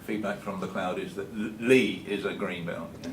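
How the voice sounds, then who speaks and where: A man's voice: fairly even intonation, moderate pace. A man briefly passing on comments from an online audience in a matter-of-fact way. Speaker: moderator relaying online comments. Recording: distant echoey room mic with faint rumble.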